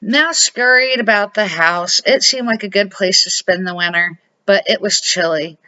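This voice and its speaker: Casting: grandmotherly woman, middle-aged or older, bright voice, deliberate expressive storybook read, dull home recording. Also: medium pitch. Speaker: grandmother reading to children, series host. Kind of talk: read-aloud children's storybook narration.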